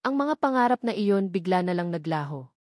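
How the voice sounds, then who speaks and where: A woman's voice: lowish voice, very animated, fairly quick, a little mellow, dry recording, studio quality. A woman reading a scripted, dramatic romance story as narrator.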